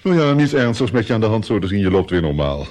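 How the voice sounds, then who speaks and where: A sly courtier in a radio play. His low voice swings widely in pitch as he speaks casually and insinuatingly. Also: very animated, fast, a little mellow. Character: smooth, insinuating male courtier. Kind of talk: radio drama.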